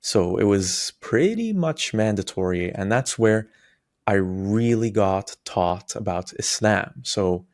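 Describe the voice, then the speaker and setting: A man's voice: lowish voice, lively intonation, takes it slowly, a little mellow, no echo, studio quality. A young man from a Palestinian background, a native Arabic speaker, telling his personal story on his channel in an explanatory way, with frequent fillers and restarts. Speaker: YouTuber.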